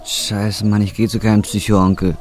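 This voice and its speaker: A man's voice: on the low side, flat delivery, moderate pace, darkish tone, lightly acted. An actor playing a down-and-out man in his twenties, blunt, weary and brooding, in an audio drama. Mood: scornful. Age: 20s. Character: jaded, down-and-out young man, brooding and defiant. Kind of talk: audio drama acting with inner monologue. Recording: faint background noise.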